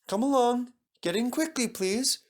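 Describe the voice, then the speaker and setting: A man voicing an eager, polite young steam engine, excitable and easily flustered, in a children's storybook reading. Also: very high voice, unhurried, pitched above the performer's own voice. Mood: cheerful, hurried.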